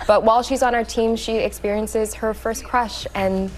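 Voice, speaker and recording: A young woman from Hawaii, an actress guest on a TV show, talking enthusiastically and quickly. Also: fairly high pitch, steady tone, slight background noise, poor audio. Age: young adult. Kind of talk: studio interview. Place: TV studio.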